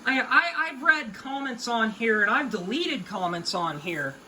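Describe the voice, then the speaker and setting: A woman's voice: on the high side, animated, unhurried, pushed and bright, slight background noise, poor audio. A woman of about twenty giving hobby advice in a casual, unscripted rant, with an emphatic, folksy, colloquial way of talking.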